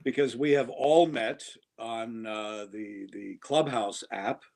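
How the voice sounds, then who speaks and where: A man's voice: mid-range voice, slow pace, darkish tone. A man who does voice-over work, joking around as a guest on a casual talk show. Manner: playful, joking. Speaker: voice actor guest. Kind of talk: casual chat.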